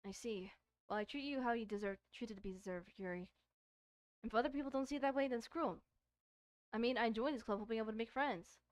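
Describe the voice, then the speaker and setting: A woman's voice: a little high, brisk, no room sound, lightly acted. A woman voicing a supportive, modest young classmate, a visual novel protagonist, in a casual, plain-spoken way. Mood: reassuring.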